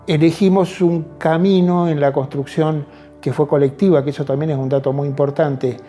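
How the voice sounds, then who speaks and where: A man's voice: fairly high pitch, fairly even intonation, moderate pace, mellow. An Argentine man speaking reflectively in an interview, often seeking agreement with short tag questions. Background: music.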